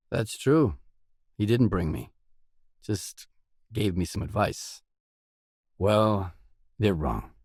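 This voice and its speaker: A gruff video game stalker with a low voice and an unhurried pace. He is guarded and self-assured, keeping his lines terse and dry. The sound is close and dry, with no room around it. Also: darkish tone, no echo, exaggerated voice. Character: gruff, guarded male stalker, lone survivor for hire.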